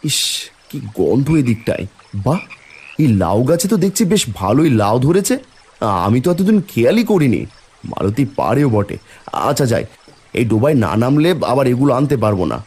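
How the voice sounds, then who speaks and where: A man's voice: mid-range voice, big pitch swings, fairly quick, darkish tone, husky. A man playing an earnest, fretful, hardworking village father in a cartoon family drama. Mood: matter-of-fact.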